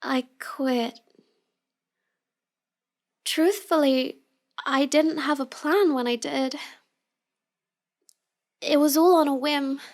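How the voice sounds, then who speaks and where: A woman playing a shy, sweet young villainess who feigns helplessness in a halting, apologetic way for an intimate roleplay audio. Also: high voice, steady tone. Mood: sweet, guarded.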